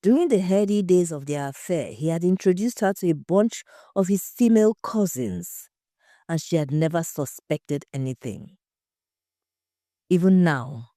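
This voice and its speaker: Woman, low-pitched, measured dramatic story narration, soft dark tone. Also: dry recording, studio quality. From Nigeria.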